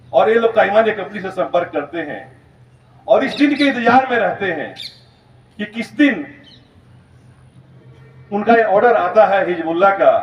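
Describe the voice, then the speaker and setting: High-voiced man lecturing, distant and echoey. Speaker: school principal giving a talk. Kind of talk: motivational school lecture, storytelling about current events. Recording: roomy, distant, muffled, noisy recording.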